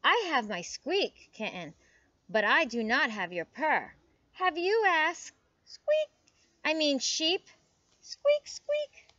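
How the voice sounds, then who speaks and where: A tiny, nervous storybook mouse, acted by a woman. The voice is squeaky and very high, darting up and down in pitch, on a slightly dull recording. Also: sing-song, slow pace, sharp tone, no echo, exaggerated voice.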